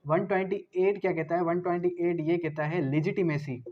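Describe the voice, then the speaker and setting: A man's voice: on the high side, moderate pace. A man with a Pakistani accent giving an explanatory law lecture, didactic, posing questions and answering them himself, mixing in English terms. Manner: switches between Urdu and English terms. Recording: dull lo-fi lecture audio.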